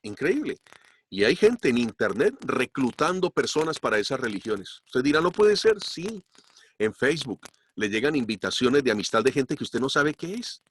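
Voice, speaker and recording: A man's voice: mid-range voice, very animated, slight background noise. Emphatic male pastor preaching. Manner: emphatic, slows down to stress points. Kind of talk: sermon. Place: church.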